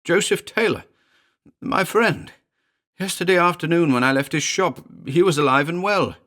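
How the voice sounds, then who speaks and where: A man's voice: fairly low pitch, sing-song, measured pace, fairly bright tone, husky, heavily acted. A man voicing a terrified male wizard who speaks in a choked, desperate way. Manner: choked, desperate, rising to screams.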